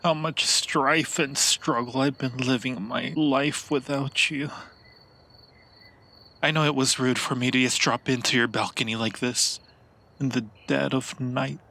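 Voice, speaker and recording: A man playing a centuries-old, yearning and possessive vampire in an improvised ASMR roleplay, speaking to the listener in an intimate, theatrical way with lingering dramatic pauses. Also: mid-range voice, fairly even intonation, gravelly, bright, forceful tone, exaggerated voice. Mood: hushed, urgent.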